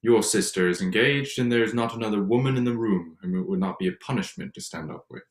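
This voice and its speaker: An actor playing a haughty, surly young gentleman with a stiff, disdainful manner in a period staged reading. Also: lowish voice, quick pace, natural voice. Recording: echoey, reverberant room.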